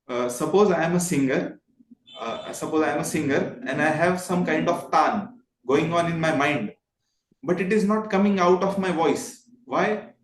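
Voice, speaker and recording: A man with an Indian accent speaking earnestly as a guest voice trainer, emphatic and repeating phrases for stress. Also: fairly high pitch. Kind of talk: earnest motivational talk in a discussion. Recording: roomy, echoing sound.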